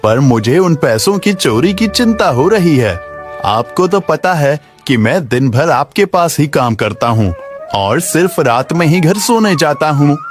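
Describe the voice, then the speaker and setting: A humble, honest village worker from a cartoon moral tale acted by a man. His voice is fairly high and very expressive, with a deferential, earnest manner. Music plays clearly behind him. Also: a little slow. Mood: worried, earnest. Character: humble, honest, worried young village labourer, polite and earnest. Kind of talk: Hindi cartoon moral story dubbing.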